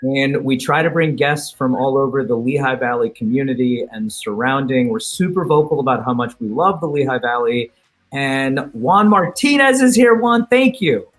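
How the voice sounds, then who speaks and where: A man's voice: medium pitch, animated, normal speed, rough recording. An American man hosting a podcast, opening the show in an informal, enthusiastic, conversational way. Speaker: podcast host.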